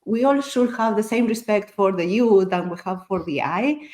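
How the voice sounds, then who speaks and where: A woman's voice: medium pitch, expressive, moderate pace, a little mellow. A woman whose first language is Spanish, talking casually as a panelist in a book discussion, explaining her thoughts in a considered way.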